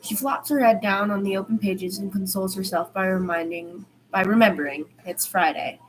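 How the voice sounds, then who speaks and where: A woman's voice: medium pitch, moderate pace, a little hiss or hum, low-quality recording. A woman reading a story aloud in an informal way, stumbling over words now and then and correcting herself. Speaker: amateur fan fiction podfic reader.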